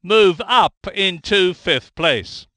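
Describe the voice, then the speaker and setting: An older British man commenting on motor racing, excitable and emphatic, speaking into a handheld lip mic for recorded game clips. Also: high voice, takes it slowly, dry recording. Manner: excitable, emphatic, rising to bursts of alarm.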